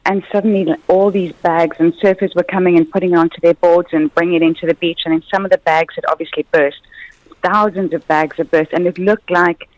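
A woman guest on a radio show, owner of a surf club, energetic and rambling. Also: fairly low pitch, fairly quick, dry recording, rough recording. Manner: energetic, community-minded, rambling.

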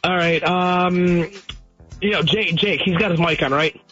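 A man's voice: high voice, fairly even intonation, pushed and bright, dull audio, faint music behind. A man calling in to a sports radio show, rambling and repeating himself as he gives his takes. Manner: rambling, halting, repeats words. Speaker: caller. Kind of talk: call-in chat.

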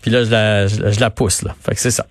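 A man with a Québécois accent hosting a radio show in relaxed, casual chat. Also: on the low side, fairly quick, no echo. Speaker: radio host.

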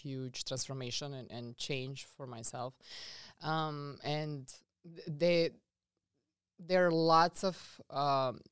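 A woman from Serbia with a Serbian accent, a guest coach telling her story in a casual interview, earnest and halting with many fillers and restarts. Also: deep voice, clear, resonant tone, dry recording, clean studio sound.

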